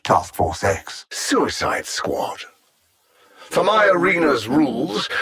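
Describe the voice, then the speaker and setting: A coldly superior alien supervillain from a video game, in a British accent. His delivery is theatrical and sardonic, swinging widely in pitch. The voice is husky, with pompous, carefully placed diction. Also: a bit bright, exaggerated voice. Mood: menacing, then coldly amused. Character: haughty alien supervillain machine intellect, coldly superior, mocking.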